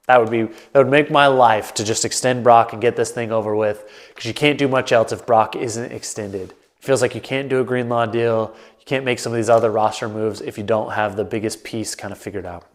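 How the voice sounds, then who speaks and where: A man hosting his own sports talk show, stuffed up and a bit nasal, thinking aloud in a loose, rambling way to the camera. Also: medium pitch. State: congested, nasal. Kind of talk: casual solo commentary to camera. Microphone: camera mic, solo setup.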